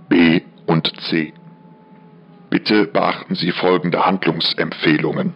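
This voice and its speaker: A man reading a formal fire brigade emergency announcement in a measured, official manner, with dull radio sound. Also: low voice.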